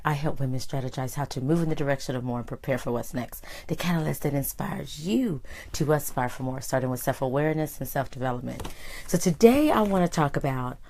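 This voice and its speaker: Hoarse, low-voiced woman hosting a livestream. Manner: lively, greets viewers by name, rising excited exclamations. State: hoarse, deeper voice than usual. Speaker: host and life coach. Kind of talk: live-streamed motivational talk.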